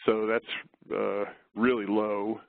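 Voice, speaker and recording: Man, slightly hoarse, webinar presenter, measured explanations, tinny phone line, dry sound. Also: on the low side, level tone, no room sound. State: hoarse from a flu.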